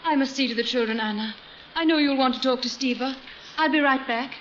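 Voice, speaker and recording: A woman's voice: sits high, fairly quick, a bit bright, a little hiss or hum, rough recording. An actress playing a polite, domestic aristocratic wife and mother in an old-time radio drama style.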